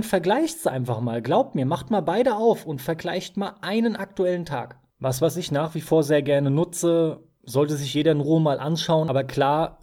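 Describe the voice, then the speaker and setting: A man's voice: fairly high pitch, dark tone. A man co-hosting a podcast, giving strong, emphatic opinions in a casual, colloquial way. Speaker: podcast co-host.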